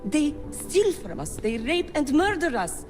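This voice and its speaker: An actress playing a fanatical cult high priestess in a video game, theatrical, pompous and reverent. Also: female voice, big pitch swings, unhurried. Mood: bitter. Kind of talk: cinematic video game acting. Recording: dramatic music behind the voice.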